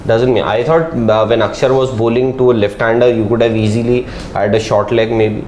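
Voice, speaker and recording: A man, a panelist on a live cricket talk show, talking in a halting, rambling way that hedges his points. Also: medium pitch, fairly even intonation, darkish tone, roomy sound, slight background noise. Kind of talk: live studio panel discussion.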